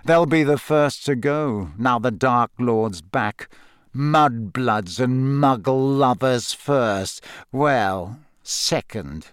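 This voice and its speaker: A man voicing a smug, menacing teenage boy villain in an audiobook, speaking slowly and tauntingly. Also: medium pitch, smooth, no echo, studio quality. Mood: menacing, gloating. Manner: slow, soft, taunting. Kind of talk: audiobook character acting.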